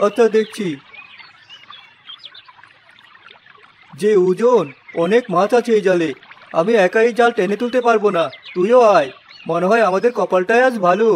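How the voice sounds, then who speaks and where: A man playing a concerned, wondering village fisherman in an animated story. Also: sits high, brisk, a little hiss or hum, lightly acted. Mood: hopeful. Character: village fisherman, longtime river man, anxious companion.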